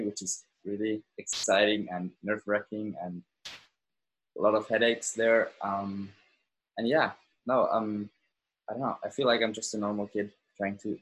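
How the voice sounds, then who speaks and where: A man's voice: fairly low pitch, moderate pace, low-quality recording. An eighteen-year-old man from Finland, a podcast guest talking casually with lots of ums and hesitations. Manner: relaxed, many ums and hesitations. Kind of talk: casual interview chat.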